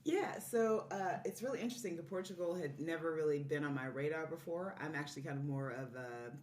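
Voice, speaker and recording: A low-voiced woman chats as a podcast guest, explaining things in a casual way. She sounds set back from the mic in a roomy space, with faint noise behind her. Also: fairly quick, darkish tone, recorded from a distance. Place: indoor room, recorded in person.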